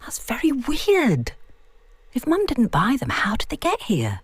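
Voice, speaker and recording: A woman performs a young woman in an audiobook tale, uneasy and bewildered. She mutters and questions things with a lively, swooping pitch. The sound is close and dry. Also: a little slow, slightly rough, no room sound, full character voice. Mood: unnerved. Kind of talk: audiobook character dialogue.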